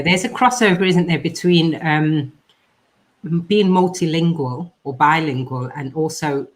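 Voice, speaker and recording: A woman hosting a podcast discussion over a video call, steering the talk and putting questions to her co-hosts. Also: sits low. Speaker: podcast host.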